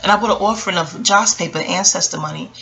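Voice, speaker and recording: New woman vlogger with creaky, bright voice. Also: lowish voice, quick pace, rough recording. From Queens, New York. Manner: conversational, acts out reported dialogue. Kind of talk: casual storytelling to viewers.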